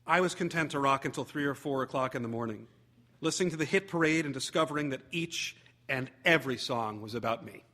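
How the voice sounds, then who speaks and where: A man reading a humorous memoir aloud as theatrical narration, wry and expressive with deliberate phrasing. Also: mid-range voice, a little hiss or hum. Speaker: actor reading a short story.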